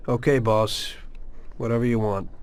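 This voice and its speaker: A man's voice: medium pitch, fairly even intonation, moderate pace, smooth, natural voice. A man playing a loyal mafia henchman in a video game cutscene, streetwise and colloquial, uneasy but obedient.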